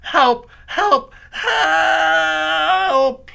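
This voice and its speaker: A man's voice: medium pitch, expressive, moderate pace, fairly bright tone, voice pushed down, natural voice. A man voicing a frightened young boy, desperate and pleading, in a children's story. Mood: terrified screaming. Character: frightened young boy telling of his capture by witches. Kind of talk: dramatised children's audiobook.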